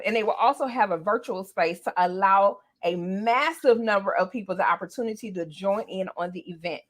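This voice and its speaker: A woman with a bright, forceful voice hosts a casual talk show. She is exuberant and playful, with big swings in pitch as she hypes up the program. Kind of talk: casual hype-up show hosting.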